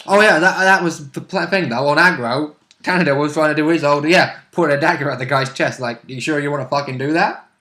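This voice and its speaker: A man's voice: on the high side, a little fast, pushed and bright. A British man chatting casually about a game session as a player, jokey and sweary, often quoting characters.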